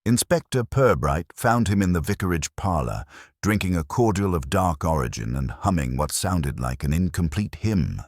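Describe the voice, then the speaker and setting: A man reading a scripted mystery story in a measured, deadpan and wry manner. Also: low-pitched, dry recording, studio quality. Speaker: audiobook narrator.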